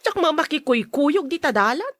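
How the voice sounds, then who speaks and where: Woman playing gossipy daughter, very high voice, whining, argumentative, defiant, radio drama, close dry sound. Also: sing-song, normal speed, fairly bright tone, no echo. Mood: indignant. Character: defiant, gossiping daughter who insists she saw it.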